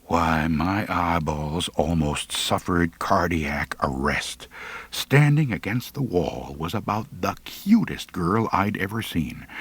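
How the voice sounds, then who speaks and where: A man's voice: low-pitched, a bit bright, slightly rough, no echo. A man narrating a humorous first-person story as an audiobook reader, with a dry, theatrical, mock-pompous delivery.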